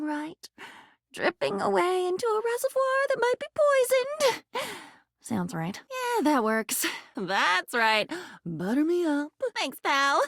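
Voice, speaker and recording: A woman's voice: high voice, vocal fry, fairly bright tone, dry recording, clean studio sound, exaggerated voice. A woman voicing a melancholy living diary in a video game, needy and poetic, speaking in wistful, emotional fragments. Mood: wry, uneasy. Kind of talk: dramatic video game voice acting.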